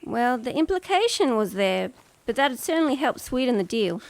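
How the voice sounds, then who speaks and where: A woman's voice: fairly high pitch, big pitch swings, normal speed, a little mellow, no room sound, poor audio. A woman playing a sardonic, practical, teasing frontier woman in a western radio serial. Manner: wry, teasing.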